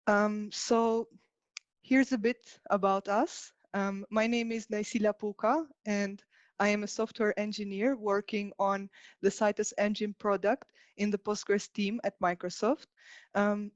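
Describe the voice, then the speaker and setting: A woman's voice: mid-range voice, steady tone, unhurried, no echo. Woman presenting, slightly dull dry recording. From Albania. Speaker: software engineer presenting at a conference. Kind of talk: prepared technical presentation.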